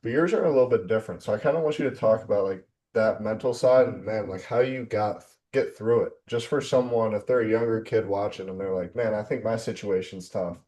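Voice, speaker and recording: A man's voice: fairly low pitch, fairly quick, hardly any pauses, warm tone. A young man hosting an interview in a casual, slangy way, often saying "man" and "dude". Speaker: interview host. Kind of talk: casual chat.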